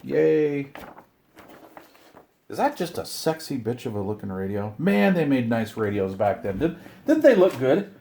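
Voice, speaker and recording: Excited middle-aged man, rambling casually. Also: medium pitch, measured pace, creaky. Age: middle-aged or older. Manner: excited, rambling, talks to himself and viewers. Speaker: hobbyist video host. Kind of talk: casual unboxing chat to camera.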